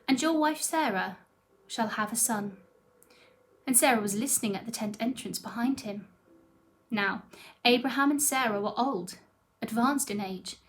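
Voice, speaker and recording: A woman with a fairly high-pitched voice reads scripture aloud. She delivers it as a scripted narrative reading, in the role of a church reader.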